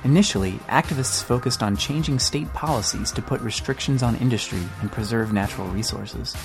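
A man's voice: medium pitch, a little fast, vocal fry. A man reading scripted documentary-style narration for a podcast. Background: music.

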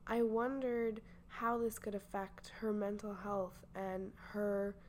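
A woman reading scripted narration as the host, measured and reflective. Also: medium pitch, flat delivery, darkish tone, a little hiss or hum. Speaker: narrator and interviewer.

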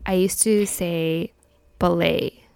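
A young woman co-hosting a casual chat podcast, whose first language is Chinese, telling stories in a chatty, animated way. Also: on the low side, takes it slowly, vocal fry, clear, resonant tone, dry recording. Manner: chatty, animated storytelling with laughs and restarts. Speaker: podcast co-host.

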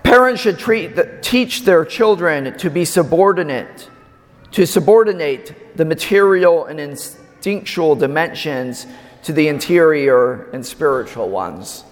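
Man, homily in a church, slightly raspy, slow deliberate delivery, long echo. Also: on the high side, expressive. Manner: slow, measured preaching with emphatic pauses. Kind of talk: sermon. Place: large church.

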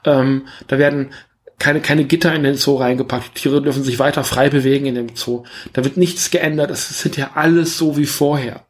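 A man's voice: mid-range voice, steady tone, fairly quick. A man in a casual film review discussion as podcast co-host, making his points emphatically and repeating them.

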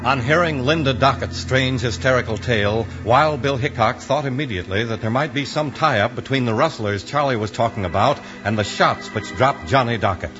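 A man's voice: mid-range voice, moderate pace, few pauses. A man narrating a 1950s radio western as its announcer, in scripted dramatic storytelling style. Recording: dull old radio recording. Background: music.

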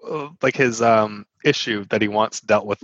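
A man's voice: lowish voice, normal speed, fairly bright tone, no echo, highs cut off. A man co-hosting a podcast, chatting casually about an old sitcom, dry and wisecracking, correcting details. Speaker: podcast co-host. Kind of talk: casual chat recapping a sitcom.

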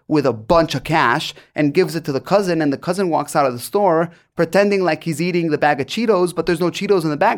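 Relaxed Guatemalan man telling stories. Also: a little high. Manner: relaxed storyteller, many likes and you knows. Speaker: podcast guest. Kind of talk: conversational interview answers.

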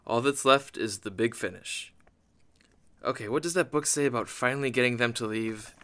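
Guy doing a snarky trapped ghost plotting scares, sharp voice, wisecracks, radio comedy. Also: medium pitch, natural voice. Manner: sarcastic, wisecracking. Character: sardonic, scheming male ghost trapped haunting a house. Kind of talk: comedic audio drama monologue.

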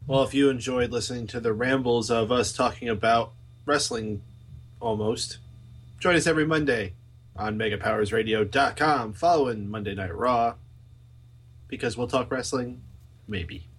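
A man co-hosting a casual podcast with deadpan, teasing remarks. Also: medium pitch, normal speed, husky. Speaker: podcast co-host. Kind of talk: casual chat.

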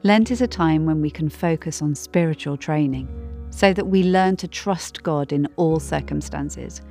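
A woman narrates a scripted devotional gently and reflectively. Her voice is low and mellow, with measured phrasing, over a soft bed of music. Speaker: devotional reader.

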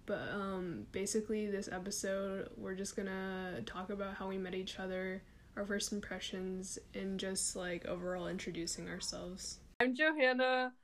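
A twenty-year-old woman co-hosting a chatty podcast with friends. She talks in a loose, relaxed way full of fillers, at a middle pitch. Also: normal speed, slight background noise. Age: 20s. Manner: relaxed, explanatory, filler-heavy. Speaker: podcast co-host. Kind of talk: casual podcast chat.

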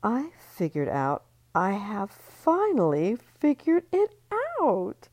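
A woman's voice: on the high side, huge pitch swings, moderate pace, a little mellow, pitched above the performer's own voice, exaggerated voice. A woman reading an audiobook, voicing a smug rich young mother who gloats with theatrical flair. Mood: gloating reveal.

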